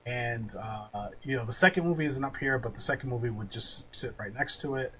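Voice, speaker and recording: A man's voice: medium pitch, fairly quick, a crowd in the background, rough recording. A man hosting a podcast, chatting casually with opinions and the odd swear word. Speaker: podcast host. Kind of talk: casual opinionated film-ranking chat. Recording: clearly audible background noise.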